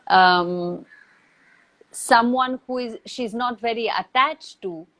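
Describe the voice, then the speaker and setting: A woman who is not a native English speaker, giving reflective advice in a thoughtful, measured way with deliberate pauses. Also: on the high side, a bit bright, low-quality recording. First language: not English or Hindi. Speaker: acting teacher, interview guest. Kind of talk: reflective advice in a live online Q&A.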